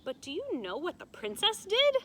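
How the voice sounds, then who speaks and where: Woman as a dramatic storytelling grandmother, bright voice. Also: very high voice, wildly swooping pitch, moderate pace, pitched above the performer's own voice, exaggerated voice. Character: stern old grandmother telling a dark fairy tale.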